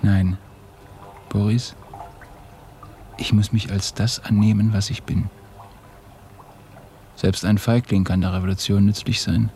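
A man acting in a German radio drama as an ashamed, despairing Russian revolutionary, halting and confessional, often hushed. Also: lowish voice, little pitch movement. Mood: resigned. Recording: studio radio play with music behind.

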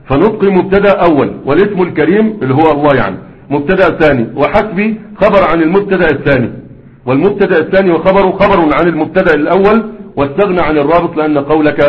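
Fast-talking Egyptian teacher, echoey phone sound. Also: male voice, fairly high pitch, lo-fi sound. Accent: Egyptian Arabic. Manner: quizzing students with prompting questions. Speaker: grammar teacher. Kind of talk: classroom lecture on grammar. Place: classroom with students.

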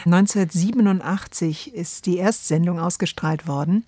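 A woman's voice: lowish voice, moderate pace, dark tone, no echo. A woman hosting a radio programme, talking reflectively and choosing thoughtful, poetic phrases in conversation. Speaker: radio show host. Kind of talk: reflective two-person conversation about radio drama.

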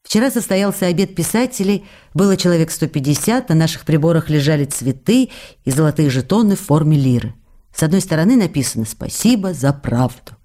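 A woman's voice: low voice, fairly quick. A woman acting in a radio drama as an affectionate, teasing actress reading her intimate letters to a faraway lover. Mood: warm, chatty. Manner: intimate, coquettish, expressive.

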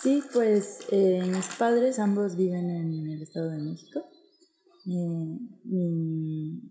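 Female interview guest, central Mexican accent, roomy echo, lowish soft-edged dark voice, hesitant delivery. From State of Mexico, Mexico. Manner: hesitant, searching for words. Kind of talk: conversational interview answers. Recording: very echoey, reverberant room sound.

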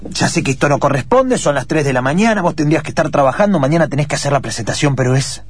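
A man's voice: a little high, animated, fast, husky, no room sound. A man voicing a nervous, obsessive researcher in a radio drama, agitated and stammering, with an Argentine accent. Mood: anxious resolve. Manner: agitated, stammering, talking to himself.

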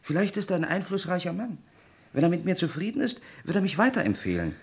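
A jovial young lawyer. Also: male voice, high voice, huge pitch swings, quick pace, exaggerated voice. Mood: affectionate. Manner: jovial, breezy and self-deprecating. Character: young male lawyer, newly made partner, good-humoured. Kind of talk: 1950s-80s radio play acting.

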